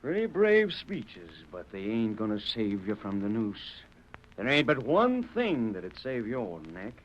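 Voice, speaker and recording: Low-voiced, domineering Western villain. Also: male voice, wildly swooping pitch, measured pace, a little hiss or hum. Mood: accusing, sneering. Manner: curt, domineering frontier talk. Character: corrupt, bossy Border Patrol man, hard Western villain. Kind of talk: 1930s-40s Western radio acting. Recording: muffled old radio recording.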